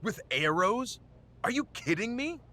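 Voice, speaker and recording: Game casting: aggrieved wrestler, sharp and very animated delivery, emphatic and confrontational. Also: male voice, extremely high pitch, measured pace, heavily acted. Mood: indignant. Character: indignant male wrestler, aggrieved video game show creator.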